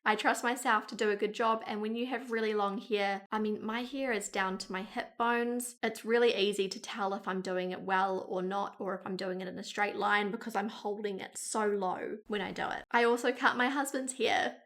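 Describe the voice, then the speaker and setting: A woman's voice: medium pitch, fairly even intonation, moderate pace, hardly any pauses, a bit bright. A woman in her thirties with a New Zealand accent, talking frankly to camera in a casual beauty vlog. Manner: chatty and frank, with wry asides. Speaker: beauty vlogger.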